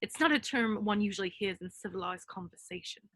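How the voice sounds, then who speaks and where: Woman playing clever schoolgirl witch, earnest, prim, crisp bright tone, dry sound, table read. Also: medium pitch, a little fast, dry recording. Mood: hurt, earnest. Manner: articulate, earnest, slightly prim. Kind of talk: amateur script table read.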